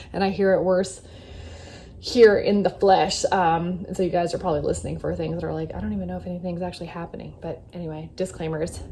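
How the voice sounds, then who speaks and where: Warm-voiced woman speaking in earnest affirmations. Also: mid-range voice, slight background noise. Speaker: vlogger. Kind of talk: casual reflective talk to camera.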